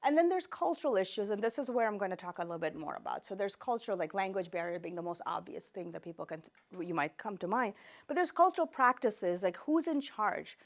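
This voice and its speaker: A woman's voice: medium pitch, lively intonation, fast talker. A woman speaks as a professor taking a class through slides, and her first language is Gujarati. Her delivery is informal and conversational. She often corrects herself mid-phrase and turns to her listeners with rhetorical questions. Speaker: professor, researcher lecturing. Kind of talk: informal academic lecture with slides.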